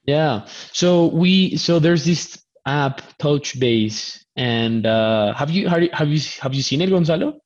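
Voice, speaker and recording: A man's voice: on the high side, animated, measured pace, few pauses. A man from Colombia with a Colombian Spanish accent, hosting a casual online chat over a video call and often stammering into restarts. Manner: chatty, stammering restarts, self-deprecating. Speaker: host. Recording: dull lo-fi video call audio.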